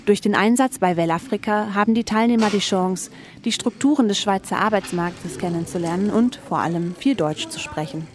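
A woman's voice: medium pitch, moderate pace. Woman reading radio news. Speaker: radio reporter. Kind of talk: scripted news narration.

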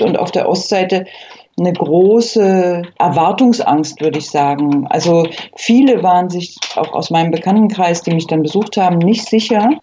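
A woman's voice: on the low side, dark tone, dull audio, a little hiss or hum. A woman in her fifties from Berlin, recollecting reflectively as an interview guest and carefully qualifying what she says. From Berlin, East Germany.

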